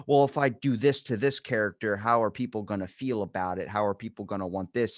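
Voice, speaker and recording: A man's voice: on the low side, fairly bright tone, no echo. Casual male podcast host, dry close sound. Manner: informal, rambling, opinionated. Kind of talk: casual conversational podcast chat.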